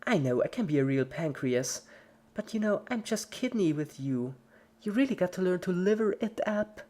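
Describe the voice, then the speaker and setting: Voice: medium pitch, animated, moderate pace, dark tone, pitched above the performer's own voice, close to an everyday voice. A performer voicing a giggly, relentlessly cheerful yet sadistic female cartoon pony in a story reading, chirpy and playful while menacing. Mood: playful, teasing.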